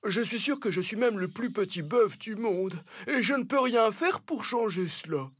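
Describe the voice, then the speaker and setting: A man's voice: sits high, animated, normal speed, slightly rough, no echo. A man voicing a sad, self-pitying little ox who complains plaintively in a children's cartoon fable. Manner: plaintive, whining.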